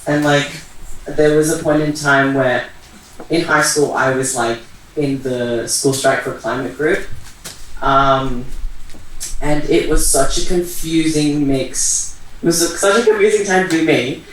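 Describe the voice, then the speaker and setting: Echoey, halting young man, far from mic. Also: a little high, fairly even intonation, a bit bright. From Iran. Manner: halting, searching for words. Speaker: panel speaker. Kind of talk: casual personal reflection at a community talk. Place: echoey event venue. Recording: very echoey room, distant mic, faint noise.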